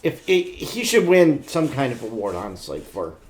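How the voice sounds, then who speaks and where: A middle-aged man co-hosting a podcast, telling stories about pop culture in a blunt, animated, slightly crude casual way. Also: mid-range voice, moderate pace, crowd noise. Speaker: podcast co-host.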